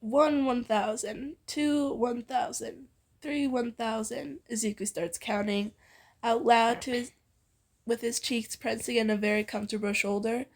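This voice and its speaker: A woman's voice: a little high, fairly even intonation, unhurried. A young woman reading fan fiction aloud informally with a friend, in a playful, giggly manner. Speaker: amateur fan fiction reader.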